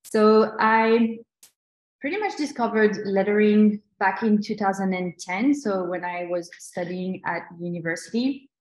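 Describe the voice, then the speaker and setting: A woman's voice: medium pitch, a little slow. French-accented woman teaching over a call. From New Caledonia. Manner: chatty and enthusiastic, many restarts. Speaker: lettering instructor. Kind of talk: live online tutorial. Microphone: video call. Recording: echoey video call audio.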